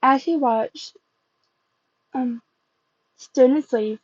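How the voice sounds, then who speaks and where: Halting, high-voiced woman reading a story. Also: darkish tone, dull audio. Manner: halting, stumbles and repeats phrases. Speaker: amateur fan fiction reader. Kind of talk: reading fan fiction aloud.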